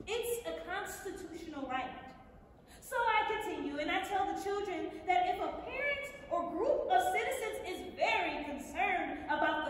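A woman playing an earnest, resolute school librarian in an emphatic, deliberate stage monologue. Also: very high voice. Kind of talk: theatrical monologue, staged reading. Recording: echoey theatre hall, distant, faint noise.

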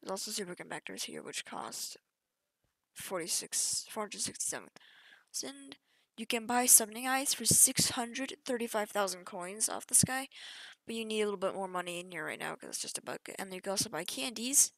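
Casual gamer woman, mid pitch, chatty and offhand, dry close recording. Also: lively intonation, moderate pace, creaky, a bit bright, no room sound, poor audio. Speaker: gaming youtuber. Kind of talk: casual gameplay walkthrough.

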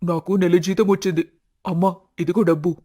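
A man voicing a dutiful, respectful grown son in an animated family story, speaking earnestly. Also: sits high, normal speed. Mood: eager. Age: young adult.

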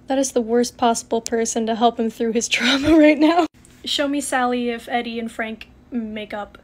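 A young woman with a high voice chats casually as she plays a reaction game. She is playful and bursts into theatrical exclamations, recorded at home on a phone. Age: young adult. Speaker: content creator. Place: home kitchen.

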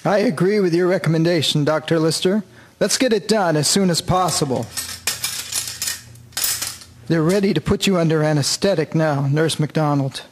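A man plays a wartime army doctor in a radio drama. His voice is rather high for a man. He speaks in a calm, measured and kindly way, as an officer in command. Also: a little hiss or hum, lightly acted. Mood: earnest. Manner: measured, reassuring.

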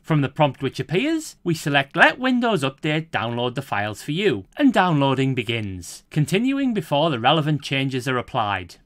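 A man's voice: medium pitch, big pitch swings, fairly bright tone, dry recording. Formal man narrating a tutorial. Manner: measured, formal, step-by-step. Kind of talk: scripted screen-recording voice-over.

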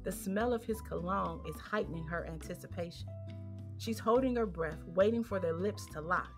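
A woman's voice: lowish voice, normal speed. A woman narrating an audio drama, reading scripted present-tense scene descriptions. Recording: long reverb, music behind. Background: music.

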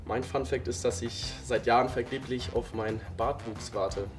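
A man's voice: mid-range voice, steady tone, moderate pace, music in the background. A man in his twenties, a dating show contestant, goofy and a little unsure in casual chat. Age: 20s.

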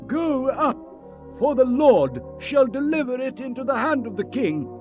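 An actor playing a fervent, exclamatory court prophet in a Bible drama. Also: male voice, extremely high pitch, very animated, measured pace, full character voice. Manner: exclamatory, theatrical. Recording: thin phone-like band, music behind.

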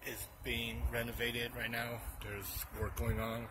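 A man's voice: medium pitch, little pitch movement, unhurried, very noisy. A man vlogging as he walks through outdoor hotel ruins, talking in a loose, rambling way and correcting himself as he goes. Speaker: urban explorer vlogger. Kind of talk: casual walking narration.

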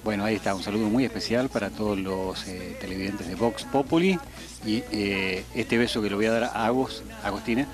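A middle-aged man with an Argentine accent, a weather presenter chatting as a guest in a TV studio, joking about himself and acting out people's shouts. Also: fairly low pitch, a little fast, over music. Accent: Argentine Spanish. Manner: joking, self-deprecating, acts out shouts from passersby. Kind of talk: casual interview. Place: TV studio set, on a couch. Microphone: handheld mic held by interviewer.

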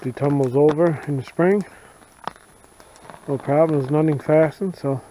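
A man's voice: fairly high pitch, mellow, a little hiss or hum, low-quality recording. Folksy man in snowy woods. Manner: plain, unhurried, folksy. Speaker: bushcraft vlogger. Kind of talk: casual outdoor narration. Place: snowy forest outdoors.

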